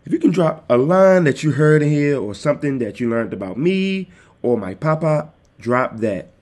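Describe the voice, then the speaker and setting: A man hosting his own podcast, talking casually and directly to his listeners in a calm, soothing voice into a desktop microphone. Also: a little high, normal speed. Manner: easygoing, addresses listeners directly. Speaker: podcast host. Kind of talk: casual solo podcast talk. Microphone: desktop USB microphone.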